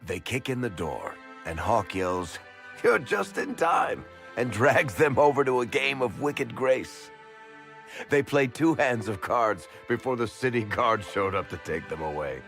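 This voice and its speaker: An actor playing a wry, streetwise rogue who tells stories conversationally in a game cutscene. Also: male voice, mid-range voice, lively intonation, measured pace, gravelly, a bit bright, soft background music. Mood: fond, animated. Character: roguish storytelling man, wry, fond, streetwise.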